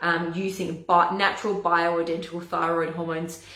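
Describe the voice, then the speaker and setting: A woman naturopath with a hoarse, husky voice, chatting casually to camera and often stumbling over and restarting her words. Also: on the low side, level tone, normal speed, fairly bright tone. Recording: echoey room.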